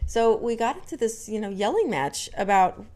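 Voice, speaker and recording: A woman's voice: on the high side, expressive, normal speed, a little mellow. A young adult woman from Dallas with no regional accent, telling a story casually to camera with lots of fillers and restarts. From Dallas, Texas. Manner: chatty, many fillers and restarts. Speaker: vlogger.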